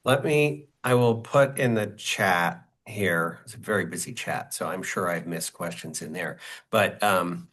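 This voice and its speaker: A man's voice: sits low, unhurried, a bit bright. A man as guest speaker answering questions informally on a video call, often repeating words and saying "like" and "you know".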